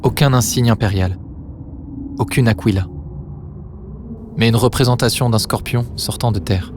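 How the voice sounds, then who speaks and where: A man narrating a dramatic science-fiction story in a solemn, deliberate manner with short phrases. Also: medium pitch, noisy background. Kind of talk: dramatic scripted sci-fi narration.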